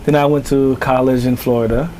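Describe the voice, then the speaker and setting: A man from Haiti, founder of a newspaper, answering interview questions in a measured storytelling way. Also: medium pitch, fairly even intonation, mellow, a little hiss or hum.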